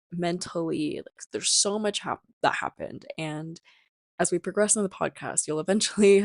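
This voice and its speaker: Creaky-voiced young woman, chatty and reflective. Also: on the low side, no echo. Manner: chatty, rambling, sprinkled with "like" and "you know". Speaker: podcast host. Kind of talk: casual reflective solo podcast talk to camera.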